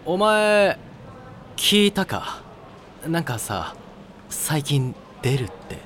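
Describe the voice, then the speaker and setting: A man playing a frank, slightly squeamish high school senior in an anime audio drama, talking in blunt, casual boyish slang. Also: sing-song, very slow, fairly bright tone, slight background noise, full character voice. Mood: casual, uneasy.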